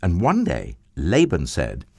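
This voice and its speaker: A man telling a children's Bible story in an expressive, theatrical way with drawn-out emphasis. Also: extremely low pitch, husky, no room sound, studio quality.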